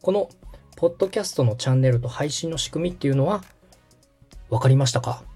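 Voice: mid-range voice, lively intonation, quick pace, warm tone, husky, faint music behind. A podcast host explaining things step by step in a tutorial manner and checking that the listener follows. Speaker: host and radio director giving a tutorial.

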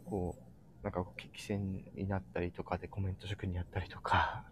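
A man hosting a live audio show, speaking casually and earnestly to listeners, often halting as he searches for words. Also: sits low, completely flat delivery, whispered. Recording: background noise, live audio stream.

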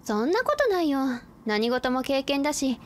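A woman playing a cool, blunt tomboy high school girl in a radio drama, with brusque, boyish speech. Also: big pitch swings, normal speed. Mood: self-assured. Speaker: supporting actor. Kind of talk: anime-like radio drama acting. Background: ambient noise.

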